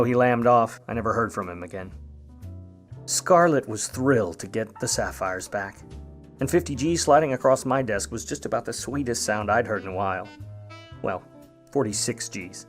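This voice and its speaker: A man's voice: lowish voice, close to an everyday voice. A man acting a wry, grudging 1950s Hollywood private eye in a noir radio play, with slangy, sardonic, clipped tough-guy delivery.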